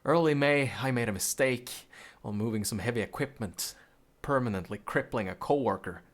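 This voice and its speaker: A man's voice: mid-range voice, fairly quick, natural voice. A man voicing a troubled, bitter young man in a grim, brooding game monologue. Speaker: game protagonist.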